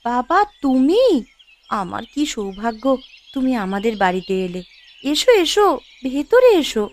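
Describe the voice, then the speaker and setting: A woman's voice: fairly high pitch, sing-song, a little slow. Woman acting a meek, humble younger daughter. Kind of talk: Bengali cartoon voice acting.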